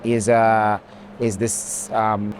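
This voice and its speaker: Man discussing art amid clear background noise. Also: fairly low pitch, flat delivery, extremely slow. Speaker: art commentator. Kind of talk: unscripted art commentary in conversation. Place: walking through an art fair.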